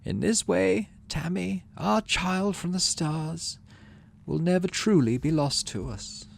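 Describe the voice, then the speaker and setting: A man voicing a solemn female colony governor in a game, speaking a funeral eulogy in slow, measured, grave oratory. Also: high-pitched, creaky, slight background noise, voice pushed up, heavily acted. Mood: solemn, grieving.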